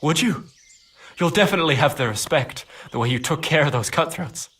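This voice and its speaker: Timid farmhand with a husky voice. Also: male voice, fairly high pitch, expressive, brisk, a bit bright. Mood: anxious, pleading. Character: timid, grateful runaway farmhand, humble and jumpy. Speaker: voice actor. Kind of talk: scripted video game drama.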